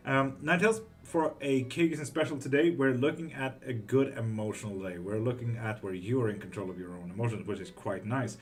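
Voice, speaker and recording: A man from Greece, hosting a live tarot stream and reading out viewer comments in a loose, profane, chatty way. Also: mid-range voice. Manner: relaxed and profane, with quick laughs.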